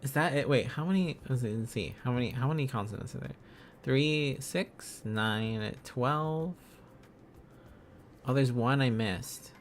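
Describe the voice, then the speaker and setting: A man's voice: medium pitch, measured pace, a little hiss or hum. A man livestreaming in a casual, playful way, thinking aloud as he chats to viewers. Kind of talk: casual livestream study chat.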